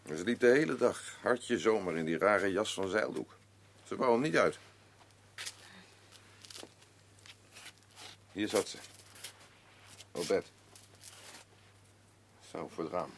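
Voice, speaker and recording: An actor playing a gruff, defensive working-class father in grief, speaking blunt, halting, colloquial lines in a naturalistic radio drama. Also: sits low, lively intonation, exaggerated voice. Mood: brooding, low. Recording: clearly noisy radio play recording with ambience.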